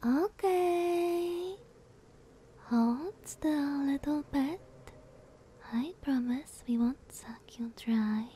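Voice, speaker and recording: A woman playing a dominant vampire sister in an ASMR roleplay, speaking softly and breathily with sweet condescension. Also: sits high, very slow, a little hiss or hum. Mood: sweet, hungry. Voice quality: soft, breathy, often whispered.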